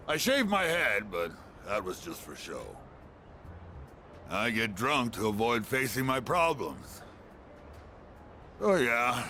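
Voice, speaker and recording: Middle-aged drunk, slurred slow drawl, wide swings in pitch, gruff, lonely, video game acting. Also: male voice, bright, forceful tone, a little hiss or hum, exaggerated voice.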